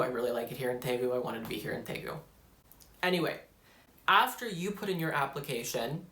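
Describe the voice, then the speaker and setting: A man's voice: on the high side, fairly bright tone. A young man vlogging casually to the camera, rambling and self-deprecating as he talks about his studies. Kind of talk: casual vlog talk to camera. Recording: echoey room.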